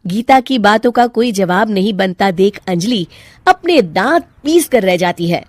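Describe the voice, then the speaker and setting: A woman's voice: medium pitch, lively intonation, measured pace, a little mellow, dry recording. A woman reading scripted narration for a cartoon family story.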